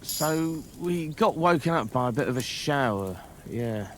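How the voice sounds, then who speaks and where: A man's voice: a little high, lively intonation, unhurried, slight background noise. A man playing a needling, inquisitive man-at-arms in a medieval video game. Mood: grumbling.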